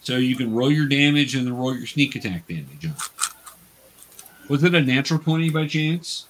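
A man's voice: mid-range voice, expressive, moderate pace, rough recording. Guy running a tabletop rpg, explains rules casually, encouraging, bit of background noise. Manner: encouraging, patient instructor. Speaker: game master.

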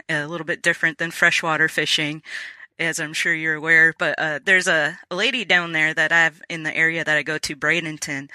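A man hosting a podcast interview, talking in a relaxed, conversational way. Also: fairly high pitch, no echo. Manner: relaxed, conversational, frequent agreeing fillers. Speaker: podcast host.

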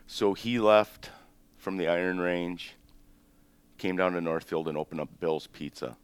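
Super deep voiced guy in his 50s, greek-born but grew up in the us, rambly laid-back storyteller. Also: measured pace, smooth, dry recording. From born in Greece, raised in the United States. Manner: relaxed, rambling, with frequent ums and self-corrections. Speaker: restaurant owner, guest. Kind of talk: casual interview storytelling.

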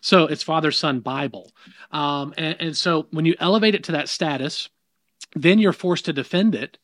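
A man's voice: on the high side, normal speed, fairly bright tone, no room sound. A middle-aged man with a Southern US accent, a minister talking as an interview guest in an emphatic, preacherly way with many restarts. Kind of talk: casual interview conversation. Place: in person, New York.

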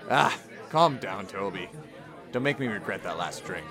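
A man playing a gruff bartender in a radio drama, brusque and sneering. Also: on the low side, lively intonation, normal speed, music in the background, crowd noise. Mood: weary warning. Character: gruff, mocking male tavern bartender.

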